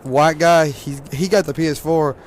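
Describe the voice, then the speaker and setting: Hoarse teen gamer, loose rambling delivery, faint noise floor. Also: male voice, on the high side, steady tone, normal speed. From Louisiana, US. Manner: rambling, laughs often, many fillers. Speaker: gaming commentator. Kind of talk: casual gaming commentary.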